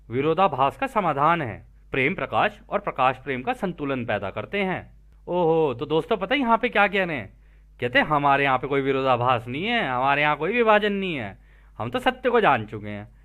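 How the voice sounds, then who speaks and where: An Indian man with a fairly high voice and very lively intonation. He presents spiritual teachings informally, moving between reading out lines and explaining them. He talks to his listeners like friends and often repeats a point in other words.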